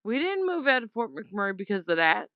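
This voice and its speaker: A woman's voice: a little high, big pitch swings, brisk, a little hiss or hum. A woman leaving an informal complaint voicemail over the phone, speaking in a blunt, aggrieved and emphatic way, with dull lo-fi sound. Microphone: phone call.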